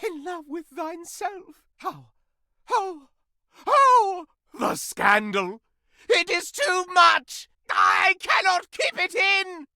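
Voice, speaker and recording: Casting: a flamboyant talking candelabra in a dating game, prissy yet lewd, theatrical and pompous, hugely varied pitch, close dry sound. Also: male voice, huge pitch swings, extremely slow, bright, forceful tone, dry recording, exaggerated voice. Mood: breathlessly astonished. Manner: melodramatic, pompous, archaic florid diction. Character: flamboyant, scandal-loving living candelabra, prissy and lascivious. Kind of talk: theatrical video game character acting.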